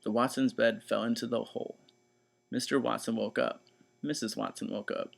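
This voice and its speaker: A man reading a children's story aloud in expressive, scripted narration. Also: medium pitch, normal speed, vocal fry.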